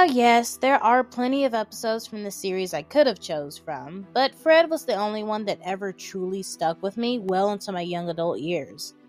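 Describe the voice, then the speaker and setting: Young woman doing casual video commentary over music. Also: medium pitch. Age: young adult. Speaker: video essay narrator. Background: background music.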